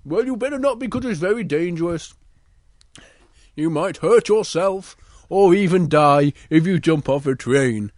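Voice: medium pitch, expressive, measured pace, darkish tone, no echo, studio quality, lightly acted. A storyteller voicing an anxious, protective grown-up male bear in a children's story, scolding and fretful. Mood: stern warning. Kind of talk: improvised children's bedtime story.

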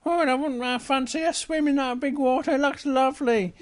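A woman's voice: sits high, fairly even intonation, a little fast, no room sound, pitched above the performer's own voice, natural voice. A woman voicing a small, eager young male bear cub in an improvised children's story, speaking in a pleading, wheedling way.

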